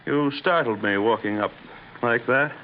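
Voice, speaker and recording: A man's voice: mid-range voice, unhurried, lightly acted. An actor playing an impatient, scornful, domineering husband obsessed with his experiments, in an old-time radio horror drama. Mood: irritated, barking. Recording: dull, noisy old radio recording.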